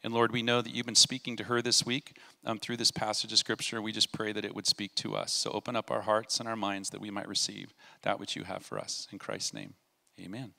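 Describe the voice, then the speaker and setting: A man's voice: on the low side, little pitch movement, brisk, vocal fry. A middle-aged man leading a church service, talking informally and then praying in a church sanctuary on a handheld mic. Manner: warm, informal with kids, then prayerful. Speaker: pastor. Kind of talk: church service address and prayer. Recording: long reverb of a church hall.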